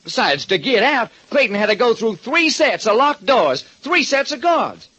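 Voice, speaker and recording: An actor playing a police lieutenant who talks loudly and emphatically in a raised voice. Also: male voice, sing-song, moderate pace, breathy edge, full character voice. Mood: worried. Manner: loud, emphatic, high-pitched. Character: anxious police lieutenant, boastful then worried. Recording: dull lo-fi old radio broadcast.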